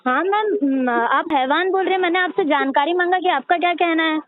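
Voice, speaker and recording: Female journalist on a call, high pitch, polite questioning, dry phone-like sound. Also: brisk, no room sound. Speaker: journalist phoning for comment. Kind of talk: phone interview. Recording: tinny, dry phone line.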